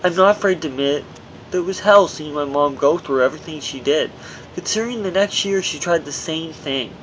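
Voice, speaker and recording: A man's voice: a little high, fairly quick, fairly bright tone, a little hiss or hum, rough recording. A man reading his own memoir aloud as scripted audiobook narration, in an earnest manner with occasional stumbles over words.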